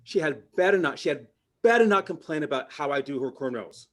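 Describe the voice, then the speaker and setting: A middle-aged man, doing a stand-up comedy set, delivering deadpan punchlines and slipping into mock voices. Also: fairly high pitch, a little fast. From Canada. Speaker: parent.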